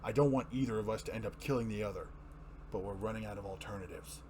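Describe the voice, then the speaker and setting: A man performing a grim, weary, earnest masked superhero in a deep, hushed voice for a comic-book dramatic reading. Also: a little hiss or hum, close to an everyday voice. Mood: grave, pleading. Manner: slow, solemn, restrained pleading.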